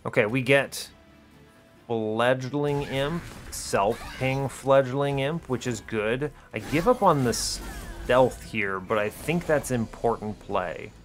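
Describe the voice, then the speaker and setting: A man with an average-pitched voice hosts a gaming video. He thinks aloud in a casual way, asking himself questions and talking through each decision. Faint music plays behind his commentary. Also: slow pace.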